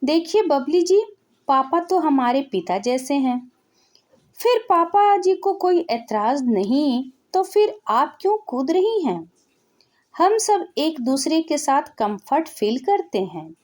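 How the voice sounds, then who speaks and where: A woman's voice: sits high, sing-song, takes it slowly, a little mellow, heavily acted. A woman storyteller voicing an outspoken modern young daughter-in-law who politely but firmly chides and defends herself. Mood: firm, reproachful. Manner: assertive, politely chiding. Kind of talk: Hindi family storytelling.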